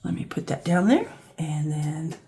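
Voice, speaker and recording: A woman with a scratchy, hoarse voice from a cold, casually talking through a craft project as she works, thinking aloud. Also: deep voice, a little slow. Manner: relaxed, thinking aloud while working with her hands. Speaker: craft channel host. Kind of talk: casual crafting tutorial narration.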